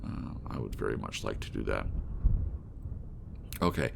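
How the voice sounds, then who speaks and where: A man's voice: expressive, unhurried, vocal fry. A man speaking as a reader and host of his own audiobook channel, with rain and thunder behind him. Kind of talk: gothic poem narration and informal outro. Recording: faint rumbling rain and thunder noise. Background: rain and thunder sounds.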